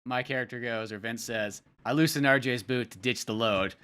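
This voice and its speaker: Young adult male co-host, forceful bright tone, slangy casual read-along banter, quick to laugh and mimic. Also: medium pitch, slight background noise. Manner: laughs often, acts out lines and sound effects; slangy and profane. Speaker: podcast co-host and comic creator. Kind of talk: casual chat, animated comic read-along commentary.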